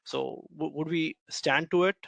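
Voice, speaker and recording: A man's voice: fairly high pitch, fairly even intonation, a little slow, gravelly, fairly bright tone, no echo. A man asking polite, multi-part questions as an analyst on a company earnings call.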